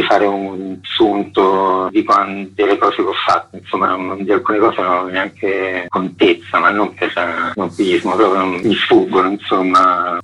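Low, raspy middle-aged man. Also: a bit bright, low-quality recording. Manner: discursive, frequent filler tags. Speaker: interview guest, graphic artist. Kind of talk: casual radio interview, recounting memories.

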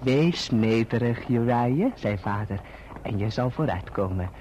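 An actor playing a falsely humble, scheming young clerk in a radio drama, wheedling and obsequious. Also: male voice, mid-range voice, lively intonation, measured pace, warm tone, lightly acted. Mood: servile, insinuating. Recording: dull, noisy old radio recording.

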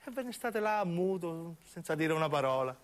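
A man's voice: a little high, animated, moderate pace, natural voice. A man playing a nosy, talkative old woman in a radio play, homespun and prodding, with a southern Italian accent. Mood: chatty. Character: chatty, nosy, homely old Sicilian woman.

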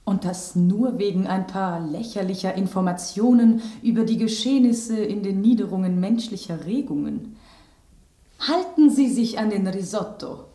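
An actress playing a genteel, sentimental rose with prim, flowery diction in a German radio drama. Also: female voice, medium pitch, moderate pace, dark tone, echoey room, lightly acted. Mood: indignant.

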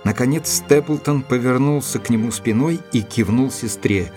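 An actor playing a composed gentleman who narrates a detective story as its loyal observer, in a measured storytelling manner. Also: medium pitch, music in the background, natural voice. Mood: composed, watchful. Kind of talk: dramatised audiobook narration.

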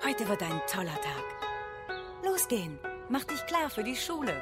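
A woman's voice: medium pitch, sing-song, normal speed, over loud music, close to an everyday voice. A woman playing a caring, busy mother in a German radio drama. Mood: bright, caring. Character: caring mother hurrying her child to school.

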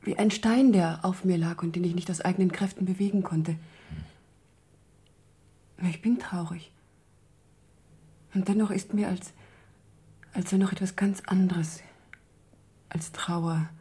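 An actress playing a subdued, troubled young woman in a German crime radio play, speaking softly and haltingly in a breathy, husky voice. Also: on the low side, fairly even intonation. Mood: sad, halting. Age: young adult. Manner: halting, soft-spoken.

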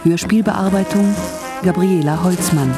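Mid-pitched radio announcer over music. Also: unhurried. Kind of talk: scripted radio credits and title announcement.

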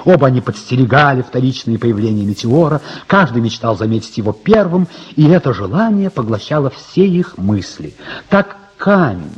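An actor as the narrator of a radio drama, telling the story in a measured, dramatic style. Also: male voice, mid-range voice, a little mellow. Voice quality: husky. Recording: dull, muffled radio drama recording.

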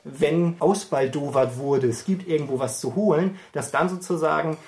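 A man with a slightly high voice speaks as an interviewed commentator. He explains his ideas spontaneously and analytically. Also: moderate pace, a little mellow.

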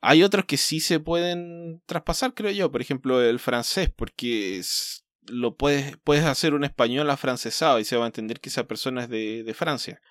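A man's voice: mid-range voice, moderate pace, hardly any pauses, fairly bright tone, dry recording, clean studio sound. A man co-hosting a casual podcast, thinking aloud in a hesitant way with many fillers. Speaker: podcast co-host.

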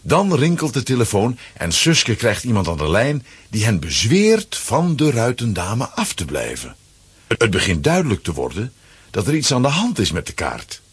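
A man's voice: mid-range voice, huge pitch swings, moderate pace, breathy edge, no echo. A man narrating a scripted children's audio play as its storyteller. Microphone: close, dry studio microphone.

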